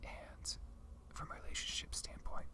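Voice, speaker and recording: ASMR reviewer, whispered delivery throughout, conversational and loosely rambling, with halting phrasing. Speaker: video host.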